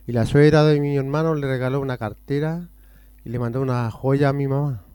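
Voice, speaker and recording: A man's voice: medium pitch, steady tone, a little fast, dark tone, clean tone, dry recording. A Chilean man being interviewed on a radio show, telling rambling stories that jump between topics. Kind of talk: casual rambling storytelling.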